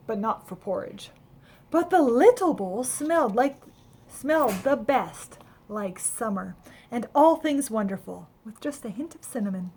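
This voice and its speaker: Casting: woman to play a little storybook snowman, cheerful and naive, high voice with a slight rasp, chatty and wide-eyed. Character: cheerful, naive, friendly little male snowman telling his adventure. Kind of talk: children's storybook read-aloud.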